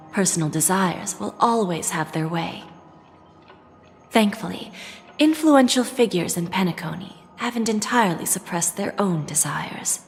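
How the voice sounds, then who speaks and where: An actress playing a shrewd, composed senior corporate envoy in a video game, speaking in a measured, formal, self-assured way. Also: female voice, mid-range voice. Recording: reverberant hall, music behind.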